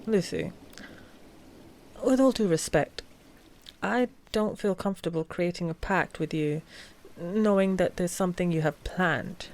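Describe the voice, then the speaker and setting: A woman playing a cautious, principled vampire coven master in a scripted ASMR roleplay, measured and a little exasperated. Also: lowish voice, darkish tone. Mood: wary, firm.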